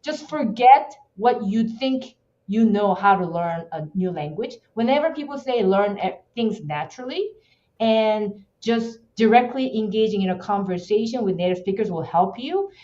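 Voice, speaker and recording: A woman's voice: mid-range voice, lively intonation, normal speed, mellow, dull audio. A woman with a Korean accent, a guest talking passionately in an interview, often restarting her sentences. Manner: emphatic, passionate, frequent restarts. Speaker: podcast guest. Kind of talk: casual interview talk.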